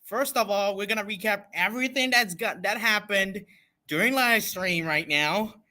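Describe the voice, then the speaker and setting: Excitable, bright-voiced man livestreaming. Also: high-pitched, normal speed. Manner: excitable, repetitive, emphatic. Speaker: livestream host reacting to a game event. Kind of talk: casual livestream reaction chat.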